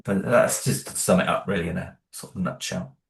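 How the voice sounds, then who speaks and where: A man's voice: low voice, brisk, gravelly. A man being interviewed about the audio drama he created, hesitant, with many fillers and restarts. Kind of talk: interview guest.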